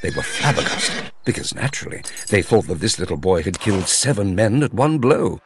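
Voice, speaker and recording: A man narrating a children's fairy tale as a storyteller, in an expressive, theatrical way. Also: lowish voice, brisk, background noise. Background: music.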